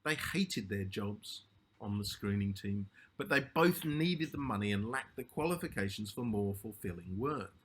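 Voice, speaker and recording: Husky-voiced man reading a literary story. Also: lowish voice, animated, moderate pace. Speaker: author reading own short story.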